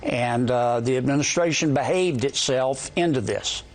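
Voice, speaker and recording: Folksy man commenting, medium pitch. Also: normal speed. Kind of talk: spoken political commentary.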